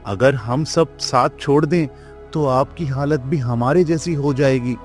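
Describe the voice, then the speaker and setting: A man's voice: medium pitch, measured pace, darkish tone, soft background music, lightly acted. A man voicing a worried, humble village farmer in a Hindi moral-story cartoon, earnest and pleading. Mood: earnest appeal.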